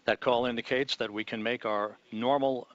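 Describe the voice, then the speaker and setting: A man giving live launch commentary from mission control, in a measured, calm announcer delivery. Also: mid-range voice, no room sound, dull audio.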